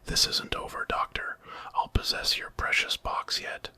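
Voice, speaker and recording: A man's voice: a little slow, pushed and bright. A man voicing a vengeful, power-hungry alien villain in a whispered ASMR story.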